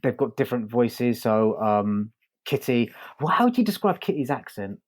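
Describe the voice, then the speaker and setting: Halting man in casual review chat. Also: mid-range voice, big pitch swings. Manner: halting, repeats words as he goes. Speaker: podcast host and reviewer.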